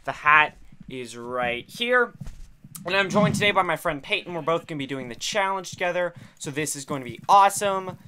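A young man with a slightly raspy voice, chatty and upbeat, commentating his own gameplay on a headset mic in a bedroom. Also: on the high side, lively intonation, moderate pace, a little hiss or hum. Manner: upbeat, chatty, drops to quiet muttering during fights. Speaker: gaming channel host.

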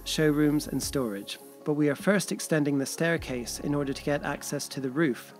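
A man reading scripted voice-over narration in a steady, explanatory way. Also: mid-range voice.

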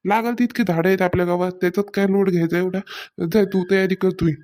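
Woman playing an easygoing village husband, lower voice. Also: steady tone, fast talker, darkish tone, natural voice. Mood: reassuring. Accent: rural Maharashtrian. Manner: casual, colloquial, placating. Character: easygoing village husband, food-loving and reassuring. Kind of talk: amateur cartoon comedy dialogue.

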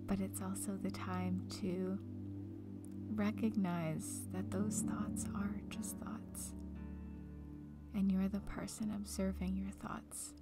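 A woman leading a guided meditation in a soft, breathy, near-whispered voice, calm and gentle, leaving long pauses. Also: medium pitch. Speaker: meditation guide, breakup coach. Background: music.

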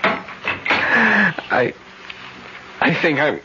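A man's voice: fairly high pitch, animated. An actor playing an anguished, faltering young man in a 1970s radio horror drama. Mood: faint, distraught. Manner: halting, faltering. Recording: echoey, noisy, muffled 1970s radio recording.

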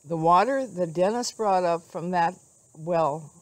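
A woman's voice: on the low side, unhurried, darkish tone, no echo. Older woman giving an outdoor devotional, dry sound. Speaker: devotional speaker. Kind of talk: devotional talk with scripture reading. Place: outdoors among trees.

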